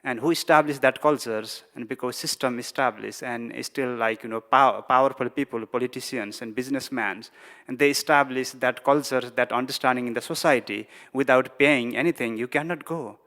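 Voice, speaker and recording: A man from Nepal speaking English with a Nepali accent as a panelist, halting, with many restarts and fillers. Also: medium pitch, pushed and bright. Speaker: panelist, migrant worker advocate. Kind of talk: panel discussion. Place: large conference hall. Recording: reverberant conference hall.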